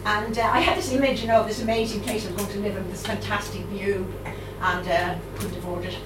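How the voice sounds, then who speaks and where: A middle-aged woman with a Dublin Irish accent reads her poems aloud at a live event, steady and measured, slipping into halting, um-filled chat between pieces. She sounds set back from the microphone in a reverberant room. A steady murmur of room ambience sits underneath her. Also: medium pitch, noisy background. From Ireland. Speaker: poet. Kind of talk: live poetry reading with chatty asides. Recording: roomy, distant mic, audible room ambience.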